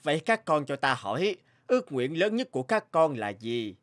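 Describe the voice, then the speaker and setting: An actor playing an aging, fatherly king in an animated fairy tale, speaking in a solemn, measured, regal way. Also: on the high side. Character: stately aging king, deliberate and fatherly to his sons. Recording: loud music under the voice.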